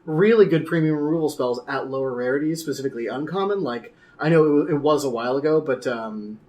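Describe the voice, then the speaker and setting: Higher-voiced man in casual podcast chat. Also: fast talker, a little mellow. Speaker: podcast panelist.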